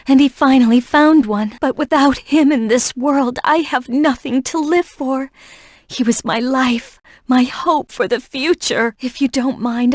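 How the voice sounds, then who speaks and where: Gentle, high-voiced young woman. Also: no echo, clean studio sound. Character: gentle, earnest young woman grieving her beloved. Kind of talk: video game voice acting.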